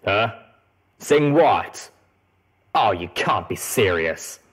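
Guy playing a gruff griffin merc lady, low and sardonic, audiobook. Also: animated, very slow, fairly bright tone, full character voice.

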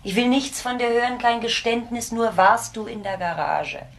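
Urgent, protective social worker. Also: female voice, a little high, lively intonation, moderate pace, creaky. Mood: urgent, insistent. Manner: urgent, insistent, repeating key phrases. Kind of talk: radio crime drama acting. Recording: noisy radio drama recording with ambience.

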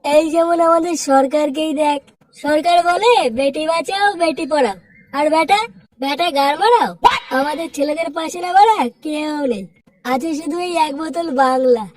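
An actor performs a drunken cartoon loudmouth with a very high-pitched voice. The delivery is crude, declamatory and bitter, full of swearing, and sounds somewhat distant from the microphone. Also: normal speed, natural voice. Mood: drunken, aggrieved. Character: drunken, foul-mouthed older-brother figure, bitterly ranting about life. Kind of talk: Bengali comedy cartoon voice acting.

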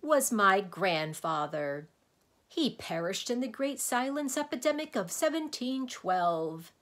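A woman's voice: fairly high pitch, takes it slowly, voice pushed up. Woman acting out a bellowing, weepy smog creature. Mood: anguished sobbing. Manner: bellowing, sobbing, over-excited. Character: emotional bluish smog creature, male, boisterous, weepy, shouting.

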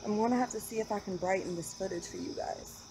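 A woman's voice: mid-range voice, quick pace. A young American woman vlogging casually outdoors at night, talking into a handheld camera. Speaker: paranormal vlogger. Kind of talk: casual vlog narration and chat. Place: outdoors at night around a train station. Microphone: handheld camera microphone. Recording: noisy outdoor night recording with insects.

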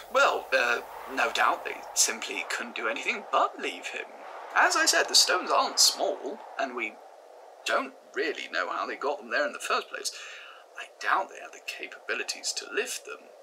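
A man's voice: medium pitch, normal speed. A man playing a wry, chuckling historian on old tape. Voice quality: lively, chuckling, confiding. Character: wry learned male historian, amused by odd history. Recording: noisy old cassette tape recording.

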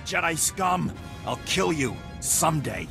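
An actor playing a defiant but cowardly street thug in a video game, rough-spoken and sneering. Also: male voice, a little high, sing-song, a little slow, over loud music, full character voice. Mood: angry. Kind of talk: video game character acting.

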